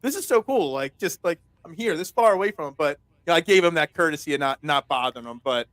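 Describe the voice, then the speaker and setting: Male sports-show host; loud, talkative, bright-toned, dry recording. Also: a little high, animated, fast talker, no echo. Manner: chatty, rambling storyteller with many restarts. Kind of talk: casual sports talk interview.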